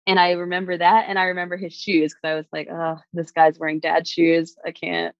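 A woman's voice: on the low side, monotone, fairly quick, gravelly, no echo, lo-fi sound. A woman from New York who works as a stage performer, casually telling her love story and animatedly acting out her own past thoughts.

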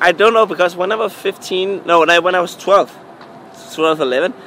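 A young man from Denmark, a DJ and music producer, answering interview questions in halting, filler-heavy English with a Danish accent. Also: sits high, fairly bright tone, slight background noise. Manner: halting non-native English, frequent fillers.

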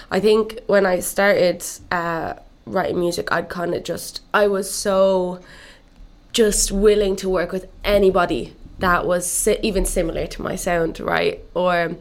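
A young woman musician from Cuba who grew up in Dublin, chatting casually in an interview with lots of "like" and "um". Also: medium pitch. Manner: relaxed, chatty, full of "like" and "um". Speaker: musician guest.

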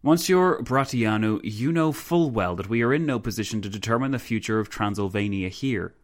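A man's voice: fairly low pitch, animated, normal speed, close to an everyday voice. A man voicing a weary, exasperated statesman who formally and firmly chairs a council in a narrated story. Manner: formal, firm.

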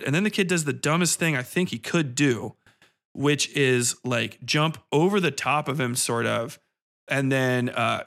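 Bright-voiced man ranting sarcastically about a film. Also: medium pitch. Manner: sarcastic, irreverent, rambling. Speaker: podcast host reviewing a film. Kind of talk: casual, profane film review monologue.

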